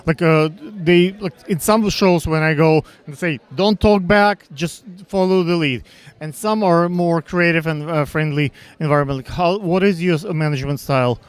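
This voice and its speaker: A man with a Russian accent interviewing backstage at a fashion show, asking questions haltingly with many fillers and restarts. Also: on the high side, clean tone.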